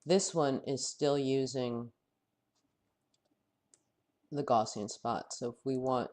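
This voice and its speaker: A man with a head cold and a fading voice, teaching a software tutorial while he thinks aloud, halting often and correcting himself. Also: medium pitch, steady tone. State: head cold, losing his voice. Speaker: software instructor. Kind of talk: screen-recorded software tutorial.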